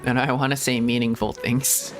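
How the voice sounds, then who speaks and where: A man's voice: mid-range voice, level tone, moderate pace. A man streaming a video game as a VTuber, chatting casually in a rambling, giggly way. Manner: rambling, giggly, self-deprecating. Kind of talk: casual gameplay livestream chat. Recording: streamer mic with game music behind.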